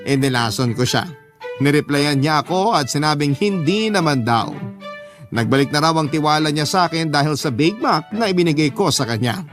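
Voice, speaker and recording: A man with a Filipino accent narrating a scripted love story dramatically as a radio host. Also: fairly high pitch. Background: violin music.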